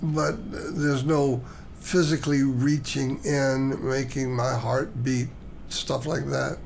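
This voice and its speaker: Man playing male patient, gravelly fry, questioning, hesitant, training role-play, faint room noise. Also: medium pitch, level tone, takes it slowly, a little mellow. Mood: uncertain. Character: questioning male patient discussing end-of-life care. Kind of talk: role-played training dialogue.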